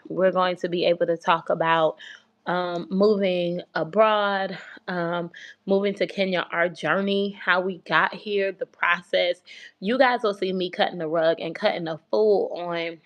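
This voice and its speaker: A woman hosts a phone livestream from her home abroad, talking in a soft, childlike baby voice. Her chat is loose and rambling, circling back over the same points with easy warmth toward her viewers. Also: fairly low pitch, fairly even intonation, a little slow. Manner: chatty and rambling, repeats herself, speaks with faith. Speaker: host of a relocation livestream. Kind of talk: casual livestream talk to viewers.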